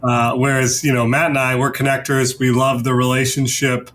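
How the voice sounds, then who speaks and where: A man's voice: mid-range voice, level tone, moderate pace, fairly bright tone, a little hiss or hum. A man hosting a discussion podcast in a conversational style. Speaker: podcast host.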